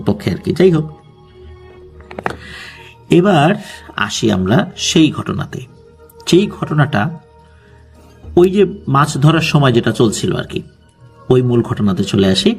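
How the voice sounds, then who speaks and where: Creaky-voiced man telling ghost stories. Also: a little high. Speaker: storyteller, horror story host. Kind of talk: informal spoken narration of ghost stories. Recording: music bed under the voice. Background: background music.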